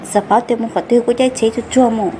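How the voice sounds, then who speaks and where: A woman's voice: fairly high pitch, fairly even intonation, rapid-fire, mellow, slight background noise, close to an everyday voice. A woman playing a female character in a folk-tale cartoon about a family. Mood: conversational.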